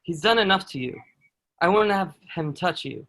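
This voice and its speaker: Man playing steadfast protective soldier, lightly high voice. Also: expressive, moderate pace, a bit bright. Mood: gentle, sympathetic. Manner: earnest, formal period phrasing. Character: protective, steadfast soldier, kind friend to condemned girl. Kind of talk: dramatic table read of historical play.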